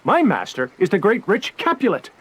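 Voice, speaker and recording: An actor playing a simple, illiterate, eager servant, bumbling comically through a Shakespearean radio drama. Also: male voice, high-pitched, huge pitch swings, normal speed, breathy edge, exaggerated voice. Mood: cheerful.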